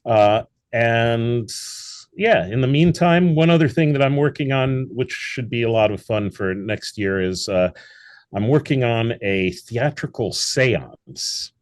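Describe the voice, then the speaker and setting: A man's voice: medium pitch, takes it slowly. A man being interviewed as a guest, speaking earnestly in long, winding sentences about his theatre work. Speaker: guest, theatre founder and actor. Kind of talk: casual interview over a call.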